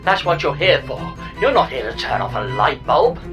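An actor playing a smug, sardonic artificial pod companion with a deadpan, wry manner in a comic sci-fi audio drama. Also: medium pitch, normal speed, close to an everyday voice. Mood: dry, frank. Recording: music under the voice.

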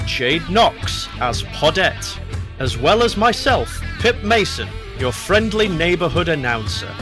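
Fairly high-voiced male announcer over music. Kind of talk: scripted credits narration.

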